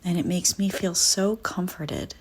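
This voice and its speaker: A woman narrating a scripted guided visualization in a soft, soothing, deliberate way with frequent pauses. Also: lowish voice, a little hiss or hum.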